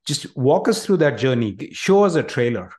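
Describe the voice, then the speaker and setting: A man's voice: mid-range voice, big pitch swings, moderate pace, darkish tone. Encouraging male interview host. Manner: encouraging, brief affirmations. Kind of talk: casual interview questions.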